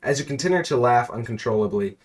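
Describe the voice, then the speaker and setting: A man reading a silly, absurd story aloud in a deadpan narrating voice, now and then cracking up. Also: mid-range voice, fast. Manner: deadpan narration, breaking into laughter.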